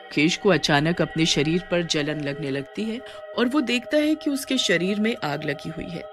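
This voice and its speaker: A woman's voice: on the low side, expressive, normal speed, soft background music. A woman giving a dramatic, scripted narration of a cartoon story.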